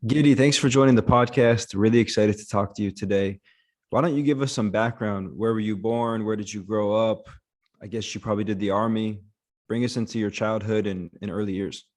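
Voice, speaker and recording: A man's voice: on the low side, fairly even intonation, fairly quick, soft background music. A man hosting a podcast, reading a scripted intro, then asking friendly, upbeat questions. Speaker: podcast host.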